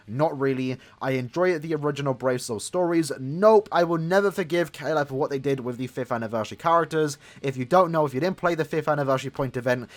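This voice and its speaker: A young man in his twenties talking fast, with a fairly high voice. He rambles casually through game commentary, addressing his viewers directly. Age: 20s. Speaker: gaming YouTuber.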